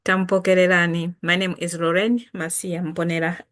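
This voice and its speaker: A woman's voice: on the low side, little pitch movement, a bit bright. A woman from Malawi whose first language is Chitumbuka, patiently teaching it in a casual lesson to camera. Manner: unhurried, patient, repeats and spells out words. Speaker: language teacher and channel host.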